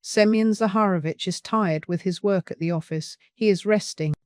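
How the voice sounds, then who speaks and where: A woman playing a poor clerk's proud, protective wife in a dramatic audiobook, hushed and self-important. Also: mid-range voice, normal speed, dry recording, lightly acted. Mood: hushing, protective.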